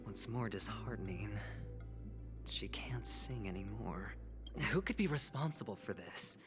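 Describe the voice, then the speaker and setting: An actor playing a sly, smug gambler in a video game, talking in a smooth, provocative and insinuating way. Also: male voice, on the low side, animated, unhurried. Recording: game dialogue with music underneath.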